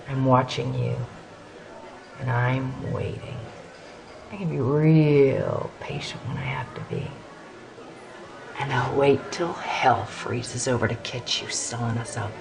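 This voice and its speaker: An actor playing a hostile, suspicious resistance fighter in a video game, slow and threatening in manner. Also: male voice, mid-range voice, creaky, poor audio. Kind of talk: video game cutscene acting.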